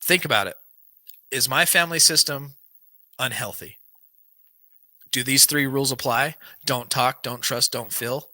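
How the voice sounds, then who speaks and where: A man's voice: medium pitch, a little slow, pauses often, pushed and bright, no echo. A man hosting a podcast, reading aloud and chatting earnestly, his voice worn and dry. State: losing his voice, dry throat. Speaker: podcast host. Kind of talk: reading a book aloud, then casual chat. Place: small home studio with banner backdrop. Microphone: large studio mic on boom arm.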